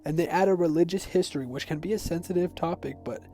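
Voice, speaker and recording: Youthful, mellow higher-voiced man narrating. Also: quick pace. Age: teens to young adult. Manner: informal, personal commentary. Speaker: YouTube video essayist. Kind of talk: semi-scripted explainer narration. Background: background music.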